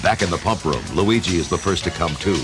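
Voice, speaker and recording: A man's voice: low voice, a little fast. A man giving theatrical scripted narration for a radio adventure story. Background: music.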